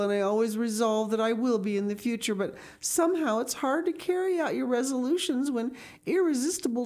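A woman voicing an excitable, earnest young girl from a storybook, with gushing, dramatic exclamations. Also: fairly high pitch, pitched above the performer's own voice, close to an everyday voice.

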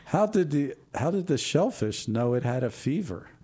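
A man hosting a show, reading out corny jokes with a casual, jokey manner, laughing at his own puns while losing his voice and clearing his throat. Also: on the high side, very animated, normal speed, warm tone. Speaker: show host.

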